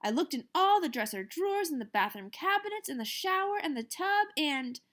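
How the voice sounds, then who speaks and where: A woman's voice: high-pitched, sing-song, fairly quick, a bit bright, voice pushed up. A woman voicing a worried, fussy mother who talks in a fretful, exasperated way. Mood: flustered.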